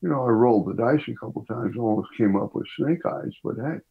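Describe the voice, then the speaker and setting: An older man, a professor, speaking as an interview guest in a measured, slightly formal way. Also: mid-range voice, creaky, breathy edge, phone-quality sound. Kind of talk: reflective interview talk.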